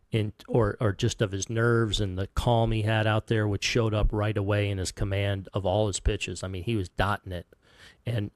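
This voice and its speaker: A man in his fifties, a baseball manager at a postgame press conference, answering in a halting, soft-spoken way with many restarts, sounding heartbroken. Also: fairly low pitch, no echo. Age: 50s. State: heartbroken, subdued. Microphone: table microphone.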